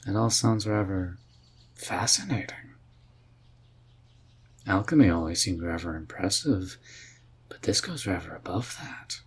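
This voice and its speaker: A man performs an easygoing, curious male mage for a fantasy audio roleplay. The voice is deep and breathy, and the delivery is slow and musing. He carries a dry, gently teasing streak throughout. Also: lively intonation, full character voice. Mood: fascinated. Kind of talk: roleplay audio drama addressed to the listener.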